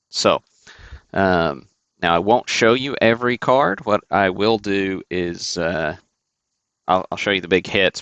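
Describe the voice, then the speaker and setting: A man's voice: on the low side, a bit bright, clean tone, no room sound. A man hosting a sports card break livestream, chatting casually and thinking aloud with fillers and restarts.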